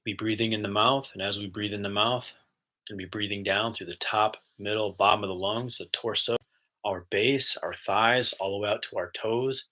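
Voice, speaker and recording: A man talking casually as a spiritual host, chatty and easygoing, then slow and soft when he guides a relaxation exercise. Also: lowish voice, steady tone, rough recording. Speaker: spiritual host and intuitive channeler.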